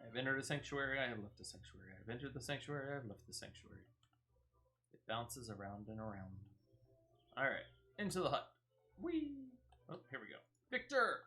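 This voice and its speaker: A mid-pitched gamer chats casually through a game with music playing underneath. The delivery is playful and swings widely in pitch, drifting into sing-song nonsense. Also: bright, forceful tone. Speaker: gaming streamer. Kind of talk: casual gameplay commentary.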